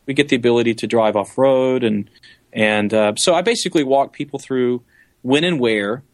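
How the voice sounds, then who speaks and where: A man's voice: medium pitch, moderate pace, dry recording. Enthusiastic man, dry close sound. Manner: enthusiastic, explanatory. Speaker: interview guest, safari photographer and guide. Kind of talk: conversational interview answers.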